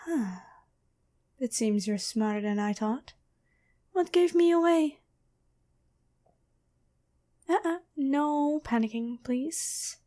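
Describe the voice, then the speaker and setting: A sly female demon in a stolen girlfriend's body, played by a woman for an ASMR roleplay. The voice is high and feminine, taking its time over each phrase. The delivery is intimate and teasing, with a taunting edge. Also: lively intonation, slow pace, pauses often, warm tone. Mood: smug, taunting. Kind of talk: ASMR roleplay, addressed to the listener.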